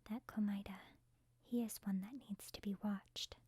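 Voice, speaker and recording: A woman voicing a calm, gentle, level-headed teenage girl in a whispered, breathy ASMR roleplay. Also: medium pitch, moderate pace, noisy background, close to an everyday voice. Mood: quietly guarded.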